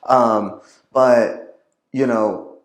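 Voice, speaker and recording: A man's voice: mid-range voice, steady tone, drawn-out words. A man speaking as a guest in a casual conversation, rambling and leaning heavily on fillers and restarts. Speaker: podcast guest. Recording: roomy echo.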